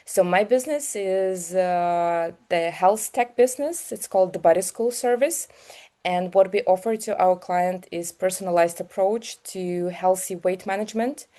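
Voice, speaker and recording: Casting: female interview guest with a Ukrainian accent, slightly low mellow voice, sincere and a little halting in conversation. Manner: earnest, halting phrasing in non-native English. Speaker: guest, health business founder.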